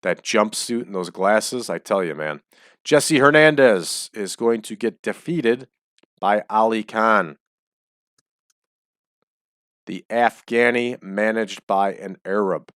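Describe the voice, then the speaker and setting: Male let's-play host, low and close, chatty, voice slightly dry. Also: measured pace, a bit bright, no echo. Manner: chatty, explains choices as he goes. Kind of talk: casual game commentary. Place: small home room.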